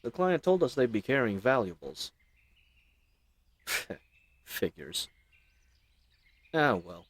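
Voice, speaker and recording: A man's voice: mid-range voice, big pitch swings, slow, pauses often, breathy edge, dry recording, heavily acted. A man playing a chatty, roguish bandit leader in an audio roleplay, with folksy slang and teasing mock courtesy. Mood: brisk, wry. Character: roguish, chatty, friendly male bandit leader, mockingly polite. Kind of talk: scripted audio roleplay addressed to the listener.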